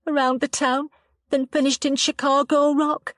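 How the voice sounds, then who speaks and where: A frightened young woman speaks haltingly, her voice higher than most and trembling, as a woman reads her part. The sound is dry and close, with no room around it. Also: flat delivery, a bit bright, no room sound. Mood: upset. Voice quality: trembling, halting and upset, rising higher when distressed. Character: young woman, shaken and tearful after an attack. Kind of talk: dramatized audiobook reading.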